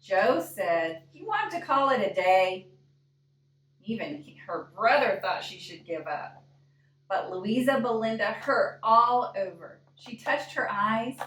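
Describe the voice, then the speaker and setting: A woman reading a picture book aloud in an expressive storytime style, deliberate and often putting questions to young listeners. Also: mid-range voice. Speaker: librarian reading a storybook to children. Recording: echoey room, distant microphone.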